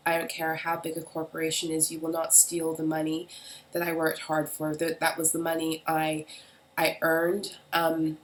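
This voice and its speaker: A woman reading tarot and astrology in a casual solo video, hoarse and raspy from a head cold, talking in a halting way with frequent ums. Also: completely flat delivery, slight background noise, poor audio. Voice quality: raspy, deeper than usual. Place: at home in the UK.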